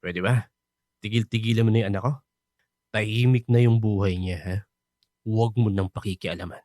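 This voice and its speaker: A man's voice: fairly low pitch, fairly even intonation, moderate pace, warm tone, no echo, studio quality, natural voice. A man with a Filipino accent playing a bitter, hostile middle-aged father in a radio drama, curt and confrontational.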